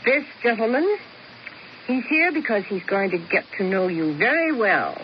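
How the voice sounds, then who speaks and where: An actress playing a cool, commanding schemer who narrates in a deliberate, theatrical 1970s radio drama style. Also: female voice, medium pitch, sing-song, lightly acted. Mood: coolly authoritative. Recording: dull, noisy 1970s radio recording.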